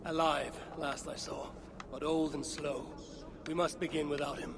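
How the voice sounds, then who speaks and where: Guy doing a bossy arrogant warrior god in a game, kinda high for a man, noisy ambience behind him. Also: little pitch movement, unhurried, natural voice. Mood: dry, curt. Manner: commanding, curt, haughty. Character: imperious male warrior god, demanding, menacing and boastful. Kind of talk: video game cutscene acting.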